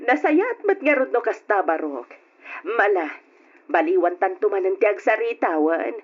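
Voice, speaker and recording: A woman's voice: medium pitch, very animated, unhurried, husky. A woman playing a kindly aunt in a radio drama, encouraging and reassuring. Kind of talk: Ilocano radio drama acting. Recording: dull lo-fi old radio recording.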